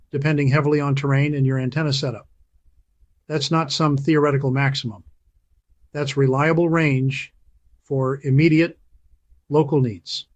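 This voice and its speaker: A man narrates a scripted voice-over with a slightly raised pitch. His delivery is emphatic and persuasive, stretching out key phrases for weight. The sound is dry and close, with no room around it. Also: dry recording. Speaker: channel host.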